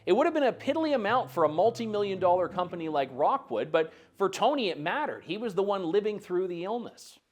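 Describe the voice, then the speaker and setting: A man's voice: high-pitched, very animated, brisk, few pauses. A man narrating a documentary in a scripted, pointed, accusatory delivery.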